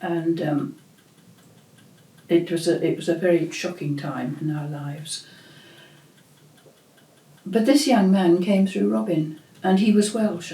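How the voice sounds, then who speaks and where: Older woman host, slow and mellow, reflective storyteller, roomy echo. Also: lowish voice. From England. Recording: echoey room.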